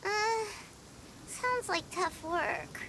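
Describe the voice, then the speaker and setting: A squeaky tiny companion creature. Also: female voice, animated, noisy background, heavily acted. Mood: startled. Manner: blunt, questioning, quick to react. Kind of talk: game cutscene voice acting. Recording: game music under the voice.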